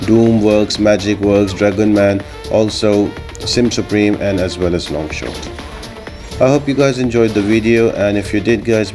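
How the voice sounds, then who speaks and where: A man's voice: fairly low pitch, darkish tone. A man giving a casual, step-by-step video game guide as the host of a gaming channel. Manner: instructional, step-by-step explaining.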